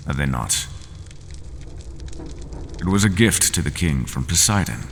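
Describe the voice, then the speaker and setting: A man playing a gravelly ancient bull monster. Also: full character voice. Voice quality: deep gravelly voice. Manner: slow, measured, softly menacing. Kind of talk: ASMR roleplay. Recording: echoey cavernous reverb with steady noise.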